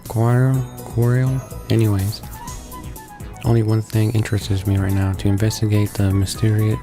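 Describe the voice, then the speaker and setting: A man reads game narration aloud in a low, unhurried voice that stumbles and restarts now and then. His delivery swings up and down only modestly, with music clearly playing behind him. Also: level tone. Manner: halting reading with stumbles and self-corrections. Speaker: visual novel let's player reading along. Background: visual novel background music.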